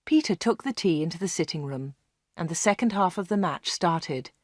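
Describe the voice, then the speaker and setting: Woman reading a learners' story, clear. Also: fairly low pitch, animated, dry recording, clean studio sound. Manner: clear and measured. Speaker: audiobook narrator. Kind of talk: slow read-along storytelling for learners.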